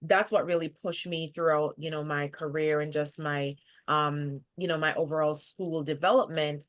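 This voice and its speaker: A woman's voice: deep voice, steady tone, moderate pace. A woman with a Jamaican accent, talking conversationally as a podcast guest. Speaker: podcast guest, leadership coach. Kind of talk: conversational interview.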